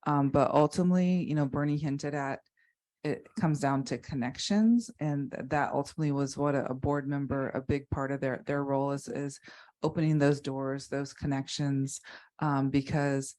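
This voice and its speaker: Woman, panel speaker, very low pitch, halting, restarts. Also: flat delivery, dark tone. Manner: halting, many restarts and fillers. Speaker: foundation board member on a panel. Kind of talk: unscripted panel discussion.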